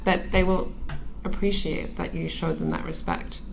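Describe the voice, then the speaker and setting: A woman's voice: on the low side, big pitch swings, moderate pace, vocal fry, noisy background. A woman in her thirties from Australia, a magazine editor talking as a podcast guest, earnest and thoughtful, with frequent ums and restarts. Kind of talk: relaxed conversational interview.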